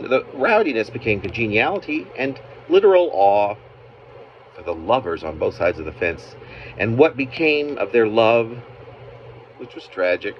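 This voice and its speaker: A slightly dull home recording with faint background noise carries a man's very low voice. He reads a literary narrative of his own writing, breaking the sentences into halting pieces with pauses in unexpected places. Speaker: poet, author reading own work. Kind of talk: literary reading of a narrative poem. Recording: muffled, noisy amateur home recording.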